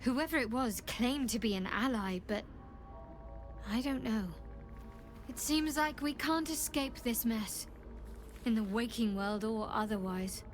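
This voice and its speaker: Slow, guarded dark cleric. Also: female voice, a little high, expressive, soft background music. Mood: uneasy. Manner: measured, hesitant, wary. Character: guarded, troubled young female cleric of a dark goddess. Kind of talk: video game character dialogue.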